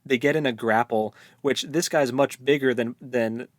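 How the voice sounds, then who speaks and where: A man co-hosting a casual book discussion, retelling things in an animated way peppered with "like". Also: medium pitch, moderate pace, dry recording, studio quality. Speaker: book podcast co-host.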